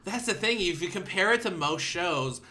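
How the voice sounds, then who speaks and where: A man hosting a casual podcast chat, animated and joking, laughing often. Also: high voice, moderate pace, a little hiss or hum. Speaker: podcast host.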